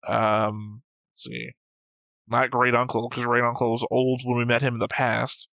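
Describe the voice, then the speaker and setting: Male reaction-show co-host, bright, gravelly edge, even delivery, dry teasing banter, close dry sound. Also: mid-range voice, unhurried, no room sound. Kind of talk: casual unscripted banter and commentary.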